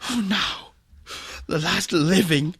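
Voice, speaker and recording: An actor playing a tormented, half-mad aristocrat in a radio drama, theatrical and feverish, swinging between hushed and frantic delivery. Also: male voice, high voice, takes it slowly, creaky, breathy edge, exaggerated voice. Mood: anguished, raving. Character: tormented, half-mad male aristocrat, last of a cursed line. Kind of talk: melodramatic radio drama acting.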